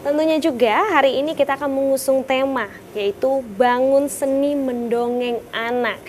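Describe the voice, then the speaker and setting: A woman's voice: high-pitched, steady tone, moderate pace, darkish tone, a little hiss or hum. A woman hosting a television talk show, politely introducing guests and asking questions with small fillers. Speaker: TV program host. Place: TV studio.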